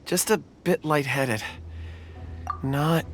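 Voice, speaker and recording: A man's voice: a little high, takes it slowly, very noisy. An actor playing a mild, thoughtful man in a video game, polite and a little hesitant. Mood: dazed. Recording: game music behind voice.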